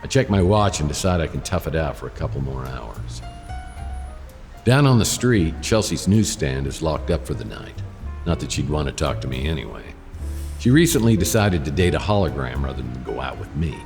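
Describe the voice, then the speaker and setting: A man playing a wry, world-weary private detective, narrating his case deadpan in a hardboiled radio drama. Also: extremely low pitch, normal speed, big-room sound, soft background music, exaggerated voice. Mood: wry, brooding. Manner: deadpan, sardonic.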